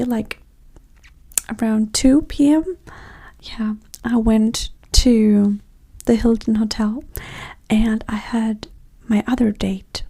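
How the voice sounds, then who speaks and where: Woman, ASMR roleplay, mellow high voice, breathy half-whisper, vain flirty dater, sassy and condescending. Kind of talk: ASMR roleplay, soft-spoken and often whispered.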